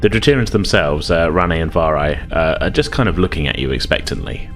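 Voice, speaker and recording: A man narrating a tabletop role-playing game as game master, casual and halting as he explains. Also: rumbling low, animated, faint music behind.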